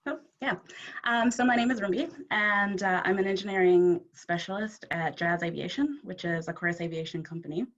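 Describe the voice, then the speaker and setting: A woman from Zimbabwe, an aerospace engineer, talking in a friendly, relaxed way over a video call. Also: mid-range voice, fairly quick, dull audio. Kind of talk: casual chat.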